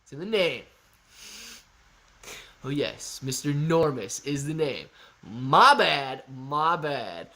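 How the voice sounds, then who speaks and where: A man in his thirties hosting a live stock market Q&A, reading viewer chat with playful, animated banter. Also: on the high side, slow pace, pauses often. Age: 30s.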